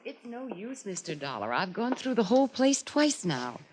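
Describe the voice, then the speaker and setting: An American actress playing an anxious, high-strung young woman in a 1950s radio drama, speaking in a nervous, halting, earnest way. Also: big pitch swings, close to an everyday voice. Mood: uneasy, alarmed.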